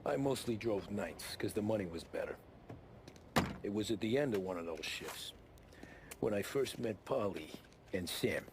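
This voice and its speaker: A man's voice: fairly low pitch, animated, moderate pace, pauses often, heavy background noise. A man playing a weary, guarded mobster turned informant in a video game, speaking tersely with an American accent. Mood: weary, reflective. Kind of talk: cinematic video game acting.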